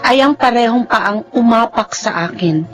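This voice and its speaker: An actress plays a devoted young wife in a radio drama. Her voice is high and pleading, that of someone long-suffering. Soft music sits faintly behind her. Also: lively intonation, normal speed, lightly acted. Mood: wistful. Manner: plaintive, pleading. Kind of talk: radio drama acting with first-person narration.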